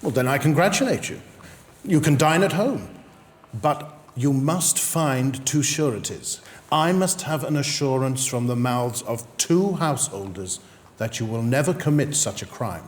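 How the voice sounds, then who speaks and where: An actor playing a courteous, formal English magistrate handing down judgement in measured period-drama speech. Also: male voice, mid-range voice, animated, lightly acted. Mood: courteous, businesslike. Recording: long hall reverb, background noise.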